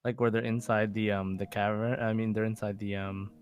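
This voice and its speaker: A man's voice: lowish voice, level tone, fairly quick, smooth, highs cut off. A man hosting a casual podcast quiz, talking in an encouraging, conversational way. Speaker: podcast host and quizmaster. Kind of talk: casual quiz show chat.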